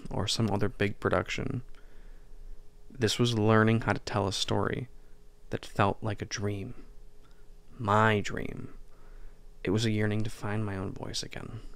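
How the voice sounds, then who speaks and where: A man hosting a podcast, delivering a scripted, reflective monologue in measured, deliberate phrases. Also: lowish voice, creaky, no echo. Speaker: podcast host and writer.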